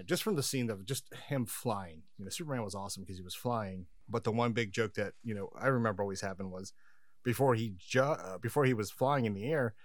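A man's voice: lowish voice, dry recording. A man appearing as a podcast guest, telling stories in a friendly, reminiscing way. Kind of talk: casual conversation.

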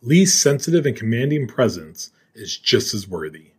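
Husky guy host reading his intro, voice bouncing up and down. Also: mid-range voice, sing-song, moderate pace, darkish tone. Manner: read-aloud delivery. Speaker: podcast host. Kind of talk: scripted intro narration.

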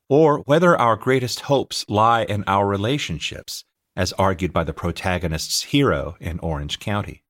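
Audiobook narrator: middle-aged American man, deep register, animated delivery, expressive storyteller reading scripted memoir. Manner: expressive, anecdotal storytelling, acting out dialogue. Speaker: author and teacher reading his own audiobook.

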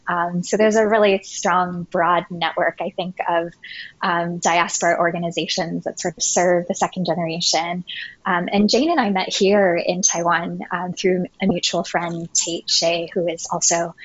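A woman's voice: fairly low pitch, husky, no room sound, muffled recording. Earnest woman, lots of ums. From United States. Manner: many ums, friendly and earnest. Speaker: podcast guest. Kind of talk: casual interview talk.

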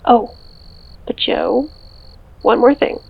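An actress playing a caring, practical older sister in naturalistic audio drama dialogue, heard through a phone filter. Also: female voice, on the high side, takes it slowly, creaky, husky, heavily acted. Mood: wistful, fond. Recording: thin, band-limited phone line.

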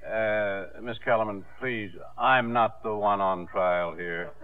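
An actor playing a fair-minded, dryly amused courtroom judge in a 1950s radio drama. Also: male voice, lowish voice, steady tone, slow. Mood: measured, dry. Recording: muffled old 1950s radio broadcast.

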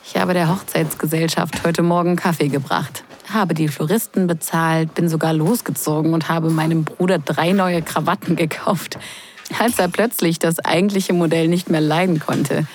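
A woman's voice: sits low, steady tone, moderate pace, a little mellow, slight background noise. A woman acting a sarcastic, flustered young sister of the groom in an intimate, confiding audio drama. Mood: exasperated, confiding. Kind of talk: intimate first-person audio drama narration and dialogue.

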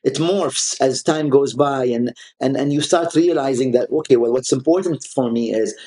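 A man's voice: medium pitch, normal speed, warm tone. A man whose first language is Arabic, speaking as an art collector guest in a conversational interview, with frequent restarts and fillers. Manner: hesitant, many restarts and fillers.

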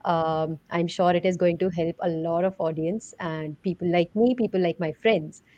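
A woman's voice: lowish voice, steady tone, normal speed, warm tone, muffled recording. A woman, hosting a podcast in a conversational interviewing style. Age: 30s. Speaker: podcast host.

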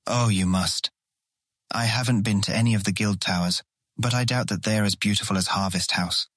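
An actor playing an earnest, awkward and easily charmed guild guard in a fantasy audio drama, eager to please. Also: male voice, lowish voice, fairly even intonation, moderate pace, fairly bright tone, no echo, studio quality. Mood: proud, eager.

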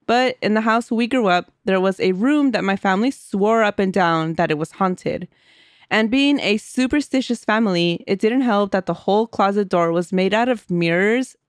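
A woman's voice: medium pitch, normal speed, no room sound. Giggly woman podcast co-host, dry mic. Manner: giggly, chatty, reads aloud with asides. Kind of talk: casual chat and reading listener stories.

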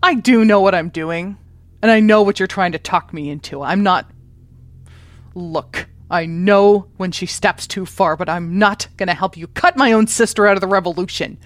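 A woman's voice: medium pitch, moderate pace, no room sound, lightly acted. An actress playing a conflicted, guarded woman revolutionary in a naturalistic audio drama. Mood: defensive.

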